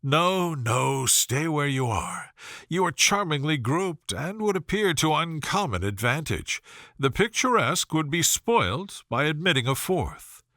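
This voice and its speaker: Guy reading a spirited young lady in an old novel, arch and playful, bright lively delivery. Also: fairly high pitch, a little slow, no room sound, studio quality, full character voice. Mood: laughing, teasing. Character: witty, spirited young woman, playful and self-assured.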